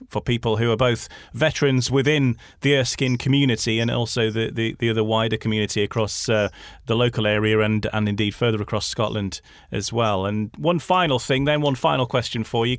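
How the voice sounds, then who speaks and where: A man's voice: mid-range voice, fairly quick, dry recording, clean studio sound. A man hosting a radio interview in a conversational style, putting questions to a guest.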